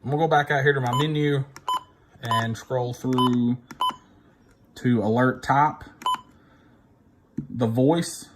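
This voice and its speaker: A man's voice: mid-range voice, steady tone, takes it slowly, pauses often. A man gives an informal, unscripted tutorial, thinking aloud as he works through each step on a device. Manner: unscripted, thinking aloud while pressing buttons. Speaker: instructor demonstrating a device. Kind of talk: informal hands-on tutorial, talking through steps. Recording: noisy recording with device clicks and beeps.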